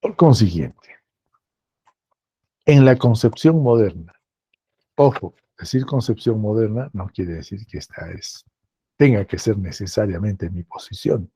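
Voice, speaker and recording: A male professor with a Peruvian accent lectures slowly and deliberately, circling back over phrases to drive them home. His low voice is gravelly and husky, with a mellow, dark tone. It sits dry and close, with no room sound. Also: lively intonation, no echo. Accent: Peruvian Spanish. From Peru. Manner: slow, deliberate, repeats phrases for emphasis.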